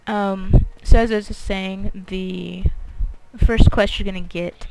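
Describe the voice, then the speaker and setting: A woman gamer talks through her play in a casual, wandering commentary. Her voice has a gravelly creak, and she sits right up on the microphone, with clicks and faint noise in the recording. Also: medium pitch, steady tone, takes it slowly, a little mellow, poor audio. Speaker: gamer hosting a video series. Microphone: mouth very close to microphone. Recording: breathy close-mic overload, clicks, faint noise.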